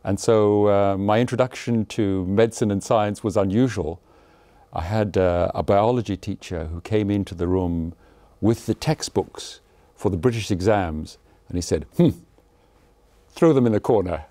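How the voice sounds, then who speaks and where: A man's voice: lowish voice, moderate pace, mellow, no echo. A man in his sixties, a cardiology professor, talking thoughtfully about his career in a studio interview, with frequent hesitations and self-deprecating humor. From Zimbabwe and Malawi. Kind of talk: relaxed conversational interview. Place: studio set with a blue backdrop. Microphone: lapel mic.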